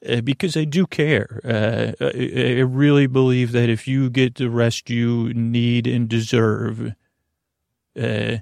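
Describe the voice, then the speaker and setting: A man hosting a sleep podcast in a meandering, halting monologue, with a creaky voice, often repeating himself and trailing off mid-thought. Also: mid-range voice, flat delivery, darkish tone, no room sound, clean studio sound. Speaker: podcast host.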